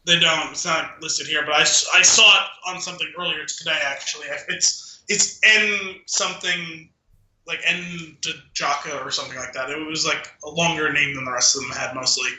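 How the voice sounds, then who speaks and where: A man's voice: a little high, steady tone, moderate pace, bright, forceful tone. A man chatting casually as a podcast co-host about film, in a rambling, tentative way. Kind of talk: casual film discussion chat. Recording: roomy, echoing room sound.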